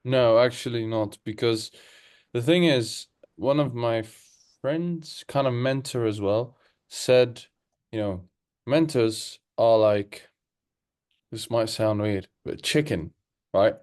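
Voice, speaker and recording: A man's voice: medium pitch, slow, darkish tone. A young man co-hosting a casual podcast over a video call from his room, giving advice with a put-on British-sounding accent. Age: young adult. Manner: playful, then earnest advice-giving. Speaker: podcast co-host. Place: room at home, seated in gaming chair. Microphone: video call webcam.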